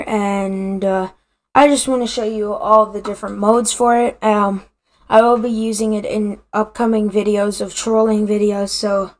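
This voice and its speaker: A gaming video creator chatting casually and haltingly while demonstrating a voice changer that alters the voice with different effects. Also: mid-range voice. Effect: voice changer with shifting effects.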